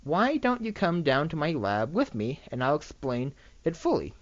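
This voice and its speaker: An amateur reader voicing an eager, excitable younger-sister pony princess in a story read aloud. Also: mid-range voice, normal speed, no echo, natural voice. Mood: earnest, reassuring. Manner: pleading, enthusiastic. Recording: dull lo-fi microphone recording.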